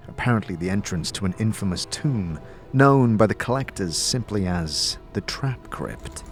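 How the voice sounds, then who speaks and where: Male narrator, low pitch, expressive range, deliberate theatrical delivery, fantasy storytelling, music behind. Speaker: narrator and game master. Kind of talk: dramatic scripted fantasy narration.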